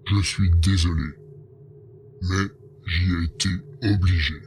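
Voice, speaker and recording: A man's voice: sits low, fairly even intonation, slow, a little mellow, a little hiss or hum. A man voicing an anxious male bear-like alien advisor who talks in a hesitant, pleading way. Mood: worried. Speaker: voice actor in an audio drama.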